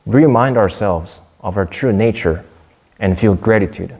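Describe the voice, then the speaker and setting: A young man from Korea giving a prepared Dharma talk in a measured, earnest way, with a few small restarts. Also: fairly low pitch, a little mellow, husky.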